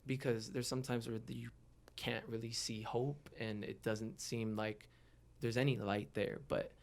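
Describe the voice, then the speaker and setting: A man's voice: mid-range voice, steady tone, normal speed. Earnest young man, reflective. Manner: earnest, reflective, frequent "you know". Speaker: guest, rapper. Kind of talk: casual podcast interview, personal reflection.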